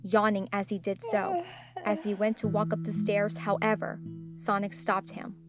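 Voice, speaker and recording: A woman's voice: medium pitch, big pitch swings, moderate pace. A woman reading scripted fan fiction as a story narrator. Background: instrumental guitar music.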